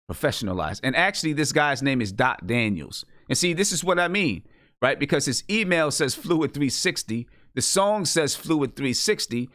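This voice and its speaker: A man hosts a music show in casual, insistent talk, laying down guidance for his listeners. His pitch moves around a lot, and the sound is dry and close, with very little room on it. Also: a bit bright, dry recording. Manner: instructive, insistent, often checking with "right?". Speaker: host of an independent hip hop music review show. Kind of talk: casual live radio-show talk addressed to artists and listeners.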